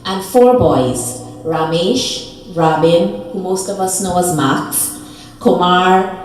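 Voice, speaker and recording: A woman giving a tender, measured eulogy for her grandmother at a funeral. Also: on the low side. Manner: slow, tender, measured delivery with soft fond asides. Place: funeral hall. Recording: echoey hall from far off, faint noise.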